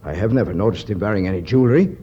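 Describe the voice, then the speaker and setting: An earnest, protective archaeologist of middle age in a vintage radio serial. His voice is husky and his phrasing scholarly and deliberate. The recording sounds muffled and old. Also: on the low side, mellow. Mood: genial, explanatory. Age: middle-aged. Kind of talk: 1950s radio adventure acting.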